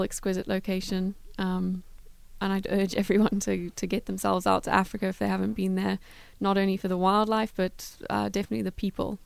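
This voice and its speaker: A woman answers interview questions in a flat, steady tone. Her voice is smooth and clear, with a gravelly edge of vocal fry. It is recorded dry and close in a studio. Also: medium pitch, no echo. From Zimbabwe. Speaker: guest, travel philanthropy worker.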